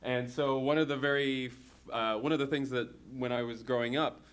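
A man in his thirties, from Hong Kong, a comics creator talking to an audience and often pausing with fillers and restarting. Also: mid-range voice, fairly even intonation, fairly bright tone, clean tone. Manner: hesitant, many fillers and restarts. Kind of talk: talk to an audience.

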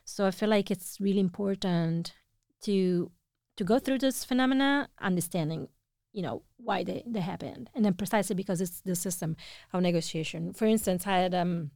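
A woman in her thirties with an Italian accent, whose first language is Italian, telling a story in a casual conversation, animated and laughing often. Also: lowish voice, normal speed, no echo, clean studio sound. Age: 30s.

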